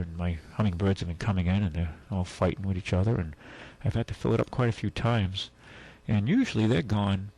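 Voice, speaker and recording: A man's voice: on the low side, creaky, darkish tone, husky, rough recording. A man hosting a talk radio show, chatting in a rambling, casual way with asides and restarts. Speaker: radio host.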